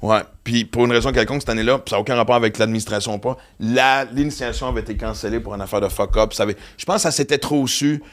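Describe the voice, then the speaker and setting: A man's voice: mid-range voice, fairly even intonation, fast talker, few pauses. A man hosting a casual podcast chat, swearing freely and telling rambling stories. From Western Canada. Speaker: podcast host.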